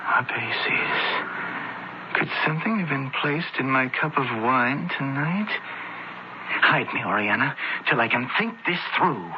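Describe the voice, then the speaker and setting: An actor playing a bewildered accused fugitive in ancient Rome, in an earnest, dramatic radio play style. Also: male voice, mid-range voice, lively intonation, measured pace, background noise, natural voice. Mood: anxious. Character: accused young Roman-era Athenian man, bewildered fugitive. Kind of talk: radio drama acting and first-person narration. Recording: dull radio recording with loud music behind.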